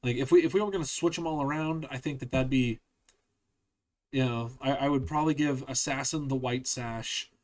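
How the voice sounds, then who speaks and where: A man hosts a casual chat, his voice sitting in an ordinary middle range. He talks in an animated, opinionated way and pokes fun at himself along the way. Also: mid-range voice, moderate pace. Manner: animated, opinionated, self-deprecating. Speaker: podcast host.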